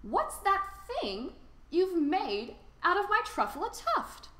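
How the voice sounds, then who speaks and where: Mossy old little male woodland creature acted by a woman, indignant, sharp, high and swooping, echoey. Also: huge pitch swings, slow, heavily acted. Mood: outraged. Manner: sharp, bossy, loudly insistent. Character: short, oldish, mossy male forest creature, bossy, indignant. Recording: roomy, echoing sound.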